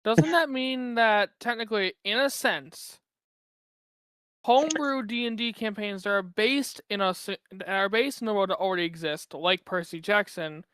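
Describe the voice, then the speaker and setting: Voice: medium pitch, normal speed, fairly bright tone, clean tone, no echo. A speaker chatting casually in podcast banter, thinking aloud in fragments.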